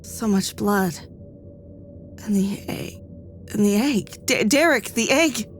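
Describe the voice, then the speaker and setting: A woman's voice: medium pitch, slow, soft background music, natural voice. A woman playing an anxious, headstrong academic on a space crew in an audio drama, sweary and argumentative. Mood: distressed.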